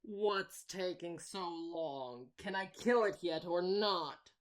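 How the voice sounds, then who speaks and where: A woman's voice: on the low side, slow pace. A woman voicing an impatient, hostile female hunter agent in a roleplay audio drama, curt and irritated.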